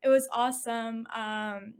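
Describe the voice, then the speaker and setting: A young woman guest speaks in a slightly high voice. She tells her story casually, slipping into quoted dialogue from her past. Her tone is relaxed and conversational. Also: measured pace, clear, resonant tone. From Syria. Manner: chatty, acts out past conversations with light laughs. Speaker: podcast guest.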